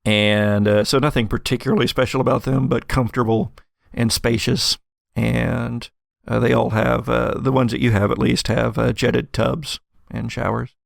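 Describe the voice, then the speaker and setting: A man narrating a tabletop roleplaying game as game master in a halting, conversational, chuckle-prone way. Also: medium pitch, flat delivery, gravelly, dry recording, clean studio sound.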